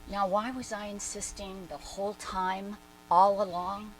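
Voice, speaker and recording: A woman's voice: mid-range voice, level tone, unhurried, slight background noise, lightly acted, rough recording. A woman performing a dramatic reading as a brash, independent-minded woman recalling her past in emphatic, conversational asides. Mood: insistent, puzzled. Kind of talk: dramatic reading, animated first-person storytelling.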